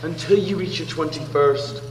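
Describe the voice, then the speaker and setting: A man playing a bold, blustering pirate captain on stage, grandiose and booming. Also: normal speed, background noise, natural voice. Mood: commanding. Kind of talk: live operetta stage acting. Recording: echoey hall, live stage with music.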